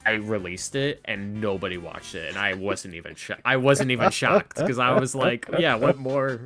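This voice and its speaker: Low-voiced man chatting over music. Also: normal speed, fairly bright tone. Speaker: interview guest, ASMR roleplay creator. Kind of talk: casual podcast chat. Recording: music playing behind the talk. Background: background music with guitar.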